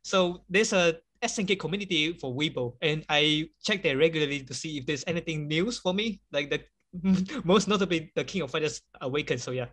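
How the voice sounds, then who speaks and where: Bright-voiced chatty man, native Chinese speaker. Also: on the high side, brisk. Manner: chatty, laughs often, many fillers. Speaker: guest.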